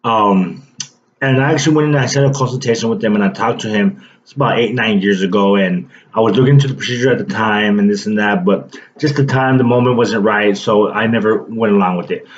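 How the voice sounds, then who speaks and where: A man in his late twenties talks to the camera in a low, casual voice, circling back and restarting his sentences often. The sound is dull and lo-fi, with a faint hiss underneath. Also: fairly quick. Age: 20s. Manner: rambling, loose, lots of fillers and restarts. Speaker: vlogger. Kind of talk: casual personal story to camera.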